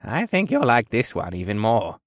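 A man's voice: lowish voice, huge pitch swings, moderate pace, no room sound, clean studio sound. A man voicing a frail but spry old male quartermaster, amused, patient and instructive, in an audiobook. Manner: chuckling, instructive. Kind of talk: audiobook character dialogue.

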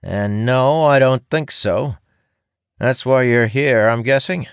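A man's voice: mid-range voice, takes it slowly, clear, resonant tone, no room sound, clean studio sound, pitched above the performer's own voice. A man voicing a breezy, sarcastic young apprentice ranger in a fantasy audiobook. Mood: sarcastic, teasing. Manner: sarcastic, teasing. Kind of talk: audiobook fantasy character acting.

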